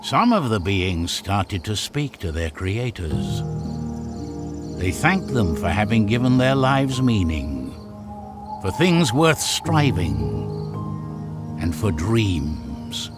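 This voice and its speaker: A man narrating a game's story in a solemn, theatrical storybook style with measured delivery. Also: lowish voice, very animated. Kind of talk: dramatic scripted storybook narration for a game. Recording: music behind the voice. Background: loud music.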